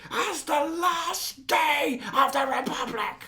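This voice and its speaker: A man's voice: very high voice, slow, vocal fry, a crowd in the background, pitched above the performer's own voice, heavily acted. A man doing a fiery general's rally speech. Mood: proclaiming. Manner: proclaiming, clipped and raised. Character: stern male military general giving a fiery speech.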